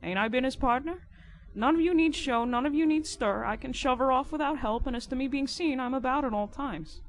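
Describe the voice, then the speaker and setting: Woman acting rough, insistent riverman, pitched up. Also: brisk, rough recording. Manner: colloquial, insistent, nonstandard grammar. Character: rough, sly, boastful working-class riverman informer. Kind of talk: dramatic audiobook character reading.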